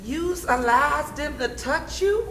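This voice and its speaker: A woman on stage playing a deferential, gossipy enslaved messenger, halting and speaking in Southern period dialect. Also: sits high, lively intonation, exaggerated voice. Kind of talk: stage play acting. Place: stage of a theatre or hall. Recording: echoey stage recording with faint noise.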